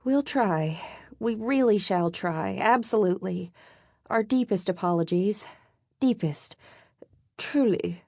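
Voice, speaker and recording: A woman's voice: lowish voice, normal speed, vocal fry, few pauses, warm tone, no room sound, voice pushed up. A flustered young woman from an audiobook, put on by a female narrator. She is polite to a fault and self-conscious. Her phrasing is stilted and apologetic, recorded dry.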